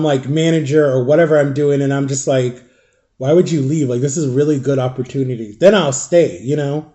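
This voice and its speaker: A man in his early thirties with a fairly high voice and a soft, mellow tone, chatting casually to the camera. He rambles through a personal story, backing up to correct himself as he goes. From Florida. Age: 30s. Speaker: vlogger. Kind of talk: casual storytime chat to viewers.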